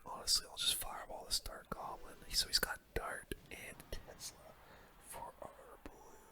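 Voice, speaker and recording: A man whispering casual, slangy gaming commentary in a breathy ASMR style, close to the microphone. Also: moderate pace. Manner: casual, slangy gamer talk. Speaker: gaming video host.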